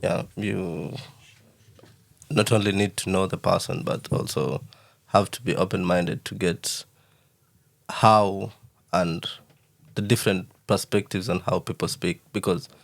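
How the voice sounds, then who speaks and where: A man talking as a guest in a casual podcast chat, measured and searching for his words. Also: low-pitched, fairly even intonation, gravelly.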